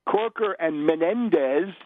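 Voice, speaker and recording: A man delivering an unscripted political radio monologue in a scornful, emphatic, opinionated manner, with halting, deliberate phrasing. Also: on the high side, no room sound. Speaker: political radio commentator and host. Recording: dull, lo-fi radio audio.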